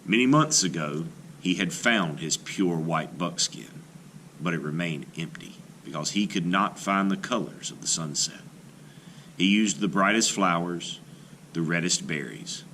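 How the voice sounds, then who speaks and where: A man reading a children's folk tale aloud like a teacher, with deliberate, expressive phrasing. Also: low voice, slight background noise, poor audio. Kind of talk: storybook read-aloud narration.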